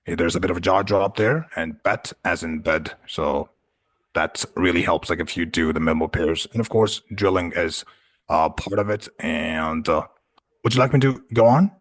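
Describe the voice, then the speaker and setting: A man from Punjab whose first language is Urdu, a guest in an online class, talking in a relaxed way with lots of "you know" and "like". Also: sits low, normal speed. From Punjab, Pakistan. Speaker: guest speaker.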